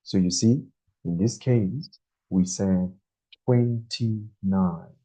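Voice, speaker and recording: A man in his twenties teaching a beginner English lesson, speaking very slowly and deliberately and repeating each number. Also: sits low, warm tone, breathy edge. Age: 20s. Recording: dull lo-fi recording.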